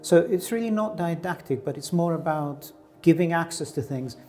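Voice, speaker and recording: A man's voice: on the high side, lively intonation, normal speed, darkish tone, soft background music. A middle-aged man from Greece, an artist giving thoughtful, searching answers in an interview, with frequent fillers and restarts. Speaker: artist guest.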